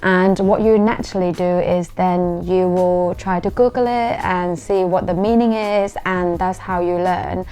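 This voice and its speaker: Young woman, Thai accent, lowish voice, earnest vlogger explaining to camera. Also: fairly even intonation, a little slow, few pauses, warm tone, clear, resonant tone. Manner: earnest, explanatory, self-deprecating. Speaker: YouTuber. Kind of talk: talking to camera.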